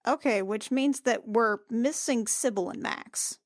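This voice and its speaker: A woman's voice: fairly high pitch, measured pace. A woman playing a tabletop role-playing game, casually improvising descriptions of what her character does.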